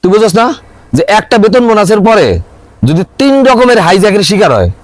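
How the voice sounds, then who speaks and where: Man playing a broke, animated Bangladeshi grumbler. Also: sits high, moderate pace, no room sound. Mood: animated grumbling. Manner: animated grumbling storyteller, colloquial and exasperated. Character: broke, aggrieved city office worker begging friend for money. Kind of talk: scripted comic drama dialogue.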